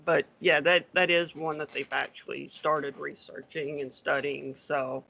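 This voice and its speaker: A man being interviewed as an accountant, talking in a relaxed, hesitant way with many fillers. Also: on the high side, a little slow, gravelly, slight background noise, low-quality recording. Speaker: accountant guest. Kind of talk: casual podcast interview.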